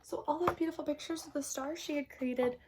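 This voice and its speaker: A woman reads a children's storybook aloud. Her voice sits a little high, and she takes her time, gentle and expressive, slipping between narration and casual comments for her young listeners. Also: brisk, background noise. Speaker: storybook reader. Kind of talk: picture book read-aloud with casual asides to young listeners.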